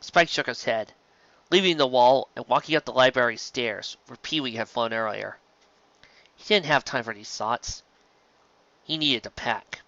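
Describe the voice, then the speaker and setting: A woman's voice: very deep voice, moderate pace, long pauses, fairly bright tone, no echo. Woman narrating a story, dry close sound. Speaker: fan fiction narrator. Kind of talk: expressive scripted story narration. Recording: slightly dull, lo-fi recording.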